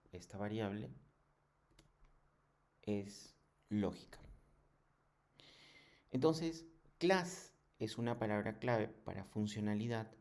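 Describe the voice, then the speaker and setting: A man giving a programming tutorial in a halting, didactic way, often checking in with short questions. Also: on the low side, creaky, darkish tone. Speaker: programming instructor. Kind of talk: screen tutorial lesson.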